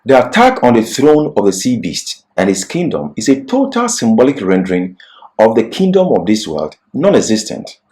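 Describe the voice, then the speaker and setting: A man's voice: medium pitch, wildly swooping pitch, moderate pace, a little mellow. A man presenting a Bible lecture, reading prepared points and explaining them emphatically, repeating key phrases. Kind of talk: scripted religious lecture with explanatory asides.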